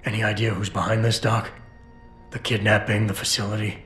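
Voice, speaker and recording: Man acting gruff, low-voiced cyborg operative. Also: a little fast, close to an everyday voice. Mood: businesslike. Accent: American. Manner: terse, deadpan. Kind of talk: video game voice acting. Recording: roomy game audio with music.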